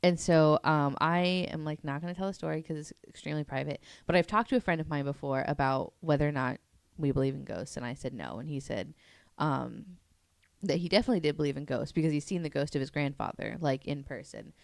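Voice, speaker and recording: Casting: low-pitched woman, gravelly and smooth, chatty podcast panelist, dry mic. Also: fast, no room sound. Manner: chatty, self-deprecating, many fillers. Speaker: podcast panelist presenting a topic. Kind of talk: casual podcast chat.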